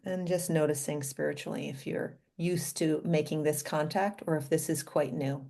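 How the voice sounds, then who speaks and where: A woman guides a meditation in a low, slightly gravelly voice with a soft, mellow tone. She speaks gently and invitingly, leaving space between short phrases. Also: fairly even intonation, moderate pace. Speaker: doctor leading a guided meditation.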